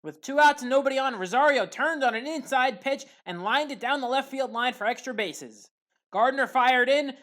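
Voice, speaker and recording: Woman, somewhat high-pitched, sports commentator, scripted highlight narration, play-by-play recap.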